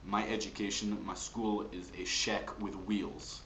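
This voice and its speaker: Man doing a slow, earnest Russian peasant. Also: lowish voice, flat delivery, a bit bright, echoey room, slight background noise, poor audio. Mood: wistful, earnest. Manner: slow, broken grammar.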